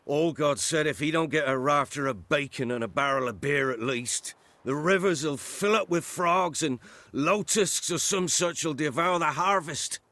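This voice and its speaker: A man's voice: on the high side, a little slow, sharp tone, dry recording. An actor playing a pious, quarrelsome male villager in a video game, with blunt rustic speech. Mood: gloomy. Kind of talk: video game character acting.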